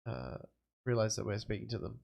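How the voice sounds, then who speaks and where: A man co-hosting a livestream with a hoarse, gravelly voice that he has partly lost, speaking in brief, low-key replies. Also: sing-song, fairly quick, highs cut off, a little hiss or hum. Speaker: stream co-host. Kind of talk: casual chat.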